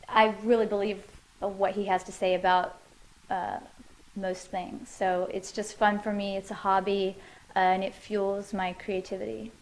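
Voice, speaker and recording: A woman's voice: medium pitch, rough recording. A woman musician answering interview questions in her living room, thoughtful and loose, with many fillers and restarts.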